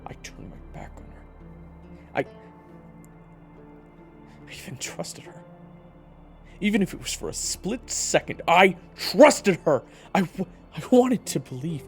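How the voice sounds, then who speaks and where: A man's voice: sits high, sing-song, unhurried, pauses often, faint music behind, heavily acted. A man playing a bitter, foul-mouthed investigator weighed down by guilt in an audio drama. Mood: anguished, self-blaming. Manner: swearing, bitter, blunt. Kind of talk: dramatic audio roleplay acting.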